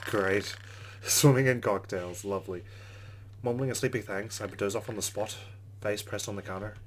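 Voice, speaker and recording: Casting: male let's play host, fairly low voice, mixes narration reading with casual remarks over music. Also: normal speed. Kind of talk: reading game narration aloud with casual commentary.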